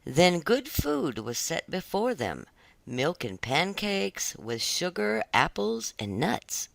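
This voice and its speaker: Audiobook narrator for children's fairy tales: expressive range, some fry, dry recording. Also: medium pitch, no room sound.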